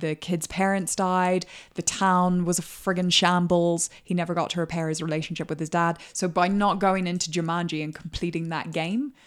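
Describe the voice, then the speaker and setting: A woman with a slightly low voice co-hosts a relaxed movie chat. She jokes around and laughs often while walking through the story beats. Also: normal speed, few pauses, clear, resonant tone. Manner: playful, laughs often, joking. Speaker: podcast co-host. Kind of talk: casual film chat.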